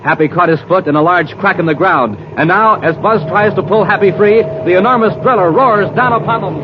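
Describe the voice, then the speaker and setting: A man working as a 1950s radio announcer, narrating and pitching in a punchy, dramatic style. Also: brisk, noisy background. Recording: dull 1950s radio recording.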